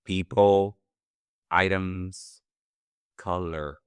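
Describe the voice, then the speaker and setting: A man with a Puerto Rican Spanish accent speaks in a low, smooth, resonant voice. He sounds earnest and reflective, working through his ideas aloud in a therapy-informed chat. The sound is close and dry, with no room behind it. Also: steady tone, extremely slow, no room sound. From Puerto Rico. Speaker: podcast co-host.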